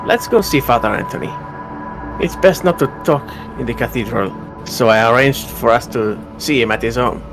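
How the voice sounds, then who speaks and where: A man playing an intense, devout believer who speaks in a measured, earnest way in an amateur visual novel drama. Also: mid-range voice, vocal fry, music in the background, natural voice. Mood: earnest, persuasive. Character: Benoit, intense devout man urging faith.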